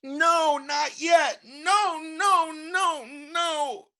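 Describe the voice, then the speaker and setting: A woman acts an earnest child puppet in a play. The voice is very high, and it moves slowly, with a lost, bewildered innocence. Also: bright, forceful tone, exaggerated voice. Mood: tantrum. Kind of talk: live play reading, child character voice.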